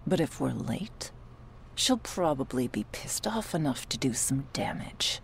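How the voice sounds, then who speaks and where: A woman playing a cold, domineering medic in an ASMR roleplay, speaking in a breathy, condescending near-whisper with quiet menace. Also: rumbling low, sing-song, a little slow, exaggerated voice. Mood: curt, impatient. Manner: condescending, menacing, coolly authoritative. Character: cold, sadistic, domineering woman medic running a captive operation.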